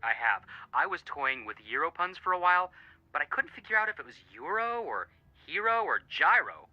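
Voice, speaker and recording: An actor playing an earnest, excitable male detective friend with a punning streak in a video game, dramatic, heard through a phone filter. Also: on the high side, brisk, dry recording, lo-fi sound, soft background music. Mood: earnest, enthusiastic.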